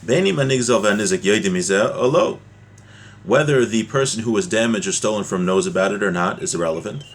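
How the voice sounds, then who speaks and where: A man's voice: on the low side, brisk. A man giving an informal religious lesson as a teacher, explaining a text with everyday examples.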